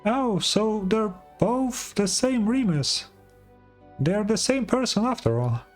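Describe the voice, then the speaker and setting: A man voicing a small, chatty female fairy companion from a game, excitable and a bit suspicious. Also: high voice, slow, faint music behind, voice pushed up. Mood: puzzled. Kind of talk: game dialogue read in character.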